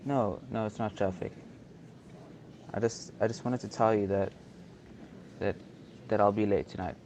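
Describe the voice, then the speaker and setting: Over audible background noise comes a flippant, talkative husband caught as a hostage, acted by a young man. His voice sits fairly low, and he complains cheekily in radio drama style. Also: steady tone, normal speed, darkish tone, lightly acted. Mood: casual, sheepish. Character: cheeky, chatty bank hostage and harried husband. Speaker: student actor. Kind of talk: amateur radio drama acting.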